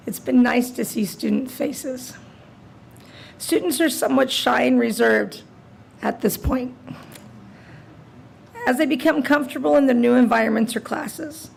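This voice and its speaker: A woman who is president of a teachers' union, hoarse and raspy from laryngitis, reading a prepared statement at a school board meeting. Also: on the high side, darkish tone, slight background noise, poor audio.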